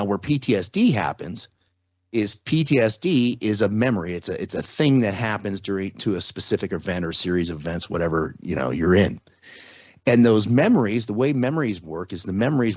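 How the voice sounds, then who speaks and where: A man's voice: on the low side, animated, a little fast, darkish tone, no room sound, lo-fi sound. A man speaking as a podcast guest, explaining things step by step in a casual, conversational way with everyday examples. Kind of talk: casual explanatory interview talk.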